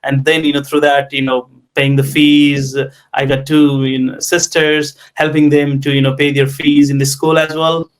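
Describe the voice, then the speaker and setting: A man with a Nepali accent, a tech entrepreneur in his thirties, telling his life story in an interview with many pauses and fillers. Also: fairly high pitch, flat delivery, smooth. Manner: hesitant, many ums and you knows.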